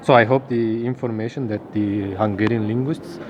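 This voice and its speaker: A man in his thirties from Turkey, speaking English with a Turkish accent as an interview guest, halting and searching for words. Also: fairly low pitch, steady tone, dull audio, noisy background. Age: 30s. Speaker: linguist guest. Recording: outdoor crowd chatter behind.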